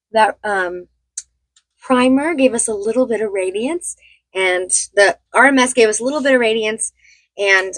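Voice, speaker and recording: A woman in her thirties with a cold-roughened voice, chatting casually and rambling a little while doing her makeup, laughing often. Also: medium pitch, no room sound, rough recording. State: hoarse from a cold. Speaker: beauty vlogger. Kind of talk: casual get-ready-with-me chat.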